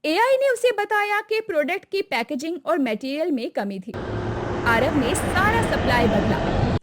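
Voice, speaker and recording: A woman's voice: high-pitched, very noisy. A woman narrating a scripted, dramatic cartoon story.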